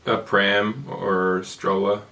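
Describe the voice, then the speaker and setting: Dry-joking New Zealand man, low and bright. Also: steady tone, slow, distant mic. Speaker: guest. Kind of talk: casual chat.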